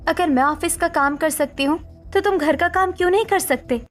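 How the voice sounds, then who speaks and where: A woman's voice: extremely high pitch, brisk, faint music behind. A woman playing an assertive working wife in a cartoon story, firm and argumentative. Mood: arguing.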